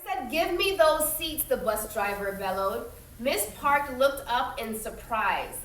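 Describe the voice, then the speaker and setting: A woman teacher reading a story aloud expressively to young children, pausing to explain it in simple, instructive asides. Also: fairly high pitch, lively intonation, fairly bright tone, faint music behind. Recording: roomy echo, distant mic, faint noise.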